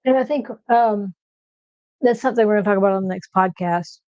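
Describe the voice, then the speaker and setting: A woman with a soft nasal voice chatting casually on a livestream from her kitchen, with lots of fillers and restarts. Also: medium pitch, brisk, no room sound, dull audio. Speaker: streamer host. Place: home kitchen.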